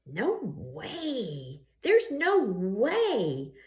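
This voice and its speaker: A woman puts on a chatty, excitable schoolgirl from a picture book. Her pitch swings widely and her words are drawn out. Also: very slow, heavily acted. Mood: scornful.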